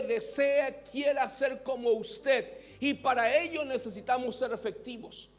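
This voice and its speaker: High-voiced Mexican pastor preaching slowly. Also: male voice. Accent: Mexican Spanish. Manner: preaching, has the congregation repeat words. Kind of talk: sermon.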